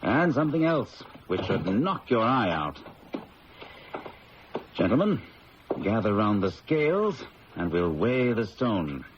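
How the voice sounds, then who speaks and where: A man's voice: fairly low pitch, very animated, slow, pauses often. An actor playing a worn-out, desperate diamond firm manager in a radio drama, confiding his story. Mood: brisk. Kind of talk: 1970s radio drama acting. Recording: muffled old radio broadcast with faint hiss.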